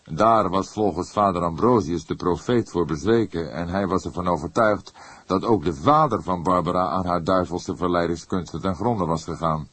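A man playing a seasoned, thoughtful older police inspector in an audiobook, speaking in a measured, deliberate way. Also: sits low, fairly bright tone, slightly rough, no echo. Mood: grave, deliberate. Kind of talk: audiobook character acting.

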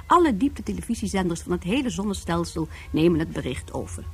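A woman narrates a scripted radio play. Her voice is slightly low, very husky and mellow, with lively intonation. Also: quick pace. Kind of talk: scripted science fiction radio drama narration.

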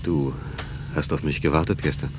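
An actor playing an uneasy, guarded lover in a German radio play, speaking in terse, halting, evasive phrases. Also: male voice, a bass voice, fairly even intonation, a little mellow, a little hiss or hum.

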